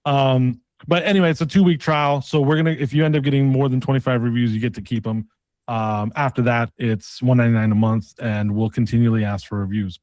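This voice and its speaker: A man working as a sales coach, delivering a casual, pushy sales pitch as a role-play and often checking for agreement. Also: a little mellow, no echo. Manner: pushy, persistent, uses tag questions; casual, colloquial phrasing.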